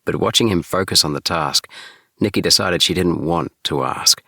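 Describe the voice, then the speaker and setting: A man with a very deep voice narrating an audiobook. He reads calmly and steadily. The sound is bright and dry, close to the mic. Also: moderate pace, gravelly, dry recording. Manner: calm, steady. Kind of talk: audiobook reading.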